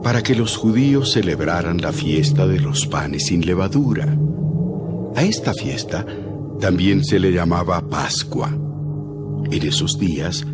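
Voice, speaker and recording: A man narrating scripture in a measured, solemn storytelling manner for an audio drama. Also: low voice.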